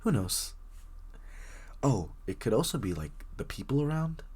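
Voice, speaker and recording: A man playing a very shy, friendly young writer in an intimate coffee shop roleplay, speaking hesitantly with nervous stammers and a husky voice. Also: mid-range voice, sing-song, normal speed, darkish tone. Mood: chatty, friendly. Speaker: audio roleplay voice actor. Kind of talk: intimate one-sided roleplay acting, casual chat.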